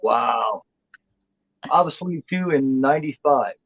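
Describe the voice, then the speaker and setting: A man's voice: on the high side, expressive, measured pace, fairly bright tone, no room sound, dull audio. A man in his forties chatting as co-host, blunt and profane, rambling with lots of restarts. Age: 40s. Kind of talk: casual chat.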